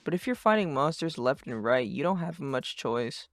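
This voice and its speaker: A performer voicing a brash, sarcastic, too-cool teenage boy who rants and swears with deadpan cool in a fan-dubbed comic. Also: medium pitch, moderate pace, no echo, lightly acted. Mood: cool, nonchalant. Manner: foul-mouthed, ranting, deadpan cool. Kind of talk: fandub of a webcomic chat. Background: music.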